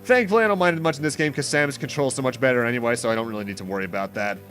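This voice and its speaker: A man's voice: mid-range voice, rapid-fire, fairly bright tone. A man giving let's play commentary as host, rambling through explanations with dry asides. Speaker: gameplay host. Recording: game music under the voice. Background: video game music.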